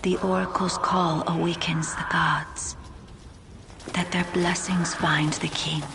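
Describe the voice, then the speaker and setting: A woman playing a serene, otherworldly messenger of the gods in a video game, with measured, formal and solemn delivery. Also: on the low side, little pitch movement, creaky, background noise. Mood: hushed, prophetic. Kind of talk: dramatic video game voice acting.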